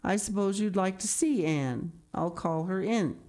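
A woman's voice: mid-range voice, measured pace, dark tone, voice pushed up, lightly acted. Woman voicing a dry, resolute, stern farm woman. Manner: dry, firm, terse.

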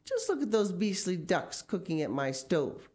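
A woman reading the part of an excitable, fussing mother in a children's story, exclaiming dramatically. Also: on the low side, normal speed, pitched above the performer's own voice. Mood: dismayed.

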